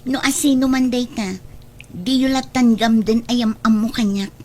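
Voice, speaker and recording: A woman plays an anxious young daughter in a radio drama, her voice a little high. She questions and pleads in a prying way, over faint background noise. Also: fairly even intonation, normal speed, dark tone, lightly acted. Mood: probing, pleading. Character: anxious, nosy young adult daughter wary of her father's romance. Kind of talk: amateur-style radio drama acting in Ilocano.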